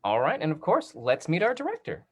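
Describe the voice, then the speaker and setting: A man's voice: fairly high pitch, wildly swooping pitch, fairly quick. A man moderating a casual discussion in an encouraging, affirming way.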